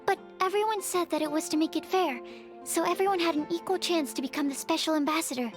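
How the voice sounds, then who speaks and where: A woman's voice: very high-pitched, fast. A woman playing a shy, earnest young alchemist in a video game, with polite, precise, scholarly phrasing. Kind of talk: video game character voice acting. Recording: background music under the voice.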